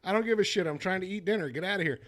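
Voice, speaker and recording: A man co-hosting a podcast, telling stories in an animated way and laughing often. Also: high voice, rapid-fire. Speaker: podcast co-host. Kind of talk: casual chat.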